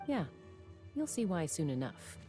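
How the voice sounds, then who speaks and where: A woman playing a level-headed young warrior in a video game, speaking in a measured, serious way. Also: lowish voice, very animated, mellow, faint music behind. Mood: composed. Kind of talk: game voice acting.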